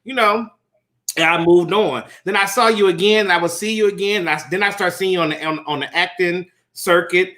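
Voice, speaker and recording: A man in his late thirties hosting a casual interview, animated and chatty, laughing often with lots of fillers. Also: high voice, brisk. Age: 30s. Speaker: host.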